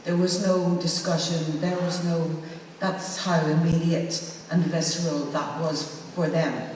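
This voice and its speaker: A woman's voice: deep voice, little pitch movement, a little slow, a little mellow. A woman talking informally on a discussion panel, repeating phrases for emphasis. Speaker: panel speaker. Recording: very echoey hall, far mic, faint noise.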